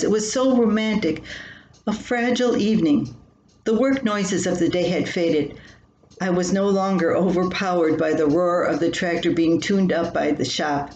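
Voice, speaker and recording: An older woman with a slightly low voice reads a personal story aloud in a wry, theatrical way. The room echoes around her, and there is clear noise in the recording. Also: darkish tone. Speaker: columnist reading own humorous column. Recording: roomy echo with clear background noise.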